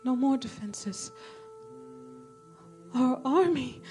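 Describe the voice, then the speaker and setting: A woman playing a grave, frightened radio reporter giving an eyewitness bulletin in an invasion drama, halting and dramatic. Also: sits high, lively intonation, mellow, soft background music, full character voice. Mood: grave, resigned. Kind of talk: radio play news bulletin acting.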